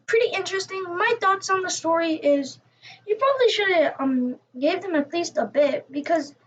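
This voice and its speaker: A woman with a high voice gives casual commentary on a story. She speaks haltingly, as if thinking aloud, through a slightly dull, lo-fi microphone. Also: animated. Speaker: commentator reacting to a story video.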